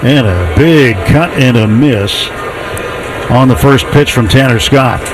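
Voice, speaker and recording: A man with an American accent doing live radio play-by-play of a baseball game in measured, descriptive calls. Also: medium pitch, noisy background. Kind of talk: live radio sports commentary.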